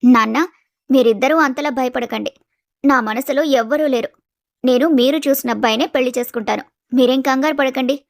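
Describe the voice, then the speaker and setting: A woman playing an affectionate young college-age daughter in a dramatised story, sweet and gently protesting. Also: sits high, a little fast, gravelly, dry recording, clean studio sound. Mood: protesting, reassuring. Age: young adult. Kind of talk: dramatised moral story acting.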